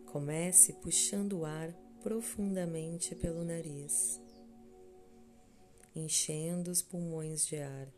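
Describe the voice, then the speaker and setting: A woman with a Brazilian accent leading a scripted guided meditation in a soothing, measured way, with long pauses between short phrases. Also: sits low, steady tone, dark tone. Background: background music.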